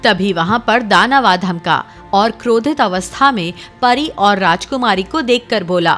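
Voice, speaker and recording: A woman narrating a cartoon fairy tale in a steady, dramatic storytelling way. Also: medium pitch, measured pace, faint music behind.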